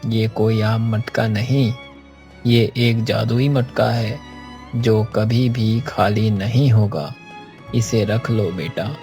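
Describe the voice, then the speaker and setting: A man's voice: lowish voice, fairly even intonation, slow, dark tone, faint music behind. A man voicing a kindly, mystical wandering sadhu in a moral-tale cartoon, speaking in a solemn, pleading way. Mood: grateful, solemn.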